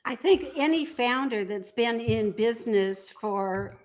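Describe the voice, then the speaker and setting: A woman's voice: a little high, unhurried, a little mellow. A woman speaking as a guest in a conversational interview. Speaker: guest, entrepreneur and author.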